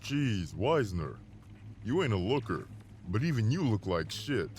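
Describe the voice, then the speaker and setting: Guy acting as cocky marine, lowish voice, faint engine noise behind, audio drama. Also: very animated, measured pace, a little mellow. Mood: cocky teasing. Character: cocky young male Marine driver, teasing squadmate.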